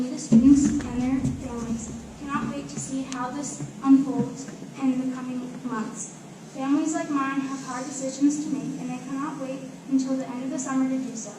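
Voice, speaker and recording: High-voiced teenage girl in an echoey room. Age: teens. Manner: earnest, formal, reading from a prepared statement. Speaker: student speaker at a school board meeting. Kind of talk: prepared public speech. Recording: echoey room, distant mic, clear noise.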